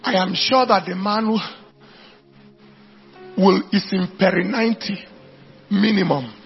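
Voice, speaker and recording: A Nigerian man delivers an animated sermon in a high-pitched voice, hammering phrases through repetition as he addresses a congregation. The recording is somewhat dull and lo-fi, with music playing behind him. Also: a little slow. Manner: emphatic repetition, declaiming to congregation. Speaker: preacher.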